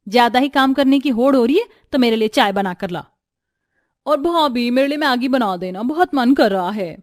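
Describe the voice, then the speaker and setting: A woman's voice: a little high, lively intonation, moderate pace, no room sound, studio quality, natural voice. A woman voicing a spoiled young sister-in-law who is bossy, snippy and mocking in a family story. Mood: demanding. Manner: snippy, demanding.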